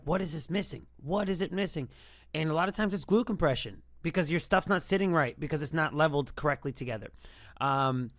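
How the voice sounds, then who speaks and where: A man's voice: a little high, a bit bright, dry recording, studio quality. A man hosting a music production tutorial, explaining things in an informal, rambling, conversational way. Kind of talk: casual tutorial talk.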